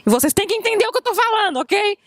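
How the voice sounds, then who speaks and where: A woman's voice: very high voice, expressive, rapid-fire, fairly bright tone, no room sound, clean studio sound. A woman with a Brazilian Portuguese accent, a native Portuguese speaker, interviewing casually in English and sometimes searching for words.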